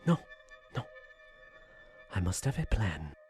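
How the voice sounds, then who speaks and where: A man's voice: deep voice, big pitch swings, takes it slowly, darkish tone, faint music behind, exaggerated voice. An actor playing a tormented, feverish Frenchman slipping into madness in an audio horror drama, with brooding, formal, theatrical diction. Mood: obsessed, desperate.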